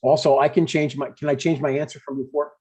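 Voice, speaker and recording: A man playing an earnest, husky older widowed dad. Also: on the high side, flat delivery, fast, a little mellow. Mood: mild, reflective. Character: older widowed father, retired deacon, earnest and blunt. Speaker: actor in a table read. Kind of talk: scripted dialogue, comedy table read. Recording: dull, lo-fi sound.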